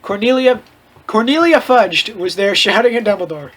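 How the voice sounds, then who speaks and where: A man's voice: sits high, expressive, normal speed, a bit bright. A man reading a story aloud in a casual comedic way, mock-dramatic and often breaking off to riff. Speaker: host reading fan fiction aloud with commentary.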